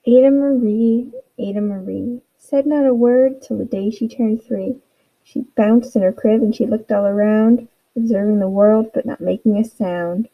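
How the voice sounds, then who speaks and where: A woman's voice: a little high, fairly even intonation, moderate pace, lo-fi sound. A woman teacher giving an instructional read-aloud for students, encouraging them with guiding questions.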